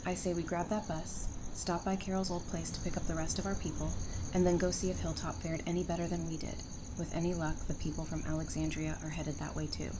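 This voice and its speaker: Actress as gutsy practical survivor gal in an audio drama, lower voice, noisy ambience behind. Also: female voice, little pitch movement, brisk, close to an everyday voice. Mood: resolute, practical. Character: brave, practical young woman survivor, dryly warm. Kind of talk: fan audio drama acting.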